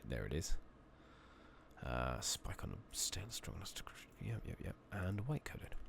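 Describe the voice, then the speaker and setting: A man with a very low voice, heavy with vocal fry, softly narrates his game in an ASMR style. His hushed delivery rises and dips in a lively way as he muses to himself, unhurried, at times close to a whisper. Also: background noise. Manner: hushed, murmured, sometimes slipping into whisper. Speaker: gaming channel host. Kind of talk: soft-spoken ASMR game playthrough commentary.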